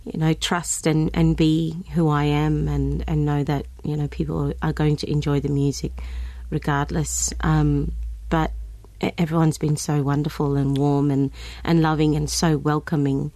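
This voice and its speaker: Low, dry-miked Australian woman guest; halting, filler-heavy chat. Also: flat delivery, mellow, no room sound. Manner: hesitant, with many ums and restarts. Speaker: guest singer. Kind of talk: casual interview chat.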